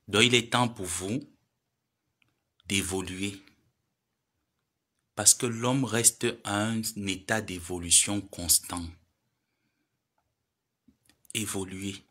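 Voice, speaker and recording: Man, 30s, bright edgy voice, preachy live-stream lecturer who pleads and scolds. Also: fairly low pitch, fairly even intonation, takes it slowly, pauses often. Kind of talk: admonishing social media live monologue.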